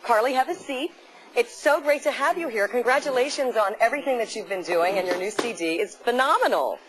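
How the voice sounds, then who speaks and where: A woman's voice: a little high, animated, brisk, a little hiss or hum, low-quality recording. A woman hosting a live shopping broadcast from a television studio on a lapel mic, upbeat and promotional as she introduces guests and products. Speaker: TV shopping channel host.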